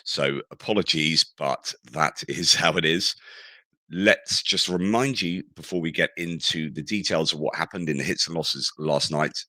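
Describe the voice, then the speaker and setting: Hoarse, very deep-voiced male commentator. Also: normal speed, pushed and bright, dry recording. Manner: rambling, with frequent self-corrections. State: hoarse from shouting at concerts. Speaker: war news commentator. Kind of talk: casual spoken analysis.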